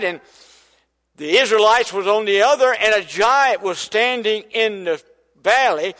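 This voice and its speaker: A man's voice: high-pitched, a bit bright, in a large hall. An older man, preaching a sermon in folksy storytelling style that builds to emphatic preaching. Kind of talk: sermon testimony.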